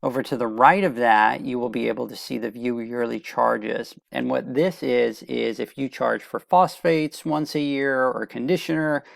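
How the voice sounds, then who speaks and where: A man presents a software walkthrough in a conversational, explanatory manner. His voice has heavy vocal fry and a gravelly edge at a medium pitch. The sound is dry, with very little room in it. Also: fairly even intonation, moderate pace, hardly any pauses, dry recording. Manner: explanatory, step-by-step. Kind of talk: conversational software tutorial narration.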